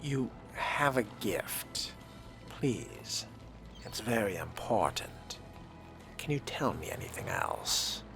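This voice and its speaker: A man narrating a video game in character, measured and theatrical, with formal wording. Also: fairly low pitch, wildly swooping pitch, vocal fry, music in the background. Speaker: role-play gamer voicing his character.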